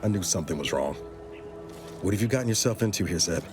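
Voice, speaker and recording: Earnest, low-voiced loyal officer. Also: male voice, lively intonation, fast talker, music in the background. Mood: earnest, determined. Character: earnest, loyal male uniformed officer seeking trust. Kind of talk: dramatic video game dialogue.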